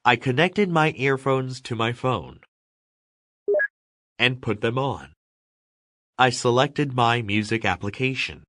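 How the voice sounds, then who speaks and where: A man reading scripted narration for English learners with careful, measured enunciation. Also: medium pitch, sharp tone, no echo, studio quality.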